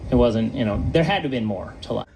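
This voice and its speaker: A man's voice: medium pitch, expressive, fast talker, darkish tone, a little hiss or hum, rough recording. A man giving an earnest personal testimony in conversation, speaking with many fillers and restarts. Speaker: online business promoter.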